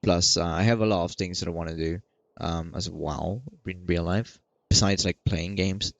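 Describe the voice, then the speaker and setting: A man doing casual let's play commentary in a rambling, halting way, often repeating himself, close to the microphone. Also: low-pitched, fairly even intonation, vocal fry, dry recording, dull audio. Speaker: gaming video creator.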